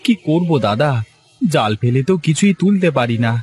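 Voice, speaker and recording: A man's voice: a little high, lively intonation, normal speed, darkish tone, close to an everyday voice. A man voicing a poor, impatient fisherman husband in a cartoon, plaintive and grumbling. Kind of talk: Bengali cartoon story voice acting.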